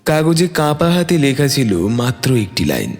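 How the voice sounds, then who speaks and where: Male narrator for audio fiction, medium pitch, lively intonation, soft music underneath. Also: normal speed. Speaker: story narrator. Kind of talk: scripted dramatic narration. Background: soft background music.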